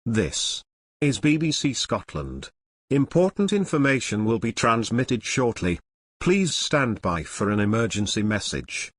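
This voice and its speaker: A man gives a formal scripted emergency announcement. His mid-pitched voice moves through a wide, lively range, and the recording is dry. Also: a little slow, no echo.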